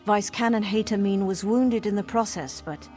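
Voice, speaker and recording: Female actor as dutiful fantasy game soldier: mid-pitched, composed, formal, earnest, light music underneath. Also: fairly even intonation, moderate pace, darkish tone, close to an everyday voice. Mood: earnest, concerned. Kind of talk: fantasy video game voice acting. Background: faint game music.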